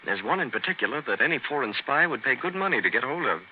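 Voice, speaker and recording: An actor playing a preoccupied but affectionate young government clerk in a 1930s radio drama. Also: male voice, mid-range voice, sing-song, fast, lightly acted. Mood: guarded, fond. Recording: muffled, noisy 1930s radio recording.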